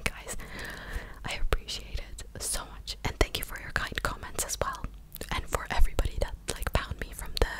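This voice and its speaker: A young adult woman hosting an ASMR video, talking casually in a soft whisper close to the microphone. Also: low-quality recording. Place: small living room, indoors. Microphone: large desktop microphone, very close.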